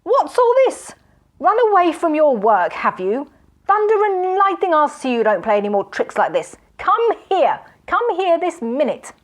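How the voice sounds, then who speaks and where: A woman voicing a wicked male magician who shouts furious, blustering threats. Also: high-pitched, sing-song, slow pace, voice pushed up, heavily acted. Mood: raging.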